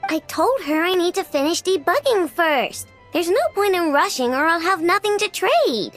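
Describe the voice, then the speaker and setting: Cocky, high-pitched kid inventor. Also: female voice, animated, moderate pace, a bit bright, soft background music. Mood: stubborn. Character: confident young girl inventor, spirited and stubborn. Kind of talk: anime-style video game voice acting.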